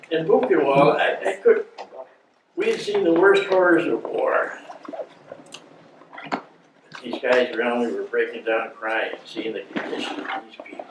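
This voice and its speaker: An American man in his nineties, a war veteran, reminiscing informally to an audience in a halting, plain-spoken way. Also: medium pitch. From United States. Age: 90s. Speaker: war veteran, guest speaker. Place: indoor meeting room before an audience. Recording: echoey room, distant mic, faint noise.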